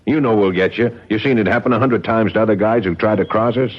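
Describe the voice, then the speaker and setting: An actor playing an impatient, threatening gangster enforcer with slangy talk in a vintage radio drama. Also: male voice, on the low side, fast talker, lightly acted. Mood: impatient pressure. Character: menacing, impatient male gangster enforcer talking as an old pal. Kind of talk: 1970s radio drama acting. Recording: muffled old radio broadcast.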